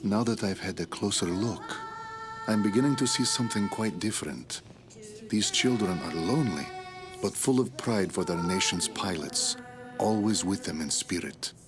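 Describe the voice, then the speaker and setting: Deep, solemn male soldier narrator voiced by an actor. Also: moderate pace, over music, lightly acted. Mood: reflective, somber. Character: weary wounded male soldier of an invading army, reflective. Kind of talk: scripted dramatic narration.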